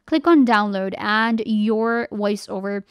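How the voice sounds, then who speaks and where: Clear-voiced woman presenting a tutorial. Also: medium pitch, slow pace, dry recording, clean studio sound. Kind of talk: casual screen-recorded software tutorial.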